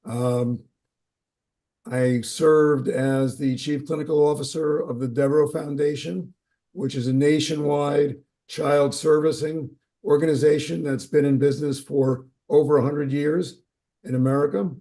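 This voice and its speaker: A middle-aged man, a child psychologist, presenting on a webinar in a measured way with filler pauses. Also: a little high, little pitch movement, dark tone.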